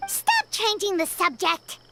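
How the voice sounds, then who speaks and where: An actress voicing a small, childlike, excitable fairy companion in a video game, exclamatory and pushy. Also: female voice, unhurried, exaggerated voice. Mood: indignant. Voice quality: squeaky, childlike. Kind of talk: animated video game voice acting. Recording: game audio under loud music. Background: loud game music.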